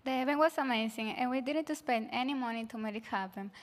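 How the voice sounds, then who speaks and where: A teenage girl whose first language is Spanish, presenting a school project in a plain, slightly halting way. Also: a little high, in a large hall. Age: teens. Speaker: student presenter.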